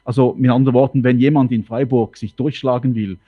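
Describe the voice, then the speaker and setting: A middle-aged man, bilingual with French as his mother tongue, speaking in a halting, measured way in a panel discussion. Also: mid-range voice, steady tone, dry recording. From canton of Fribourg, Switzerland. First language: French, grew up bilingual with German. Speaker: city politician on a panel. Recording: slightly dull, dry sound.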